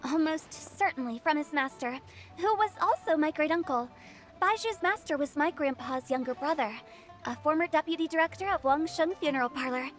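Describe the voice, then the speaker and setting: A woman's voice: sits high, expressive, moderate pace. A woman playing a quirky young female funeral director in a video game, with a playful, theatrical storytelling manner. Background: music.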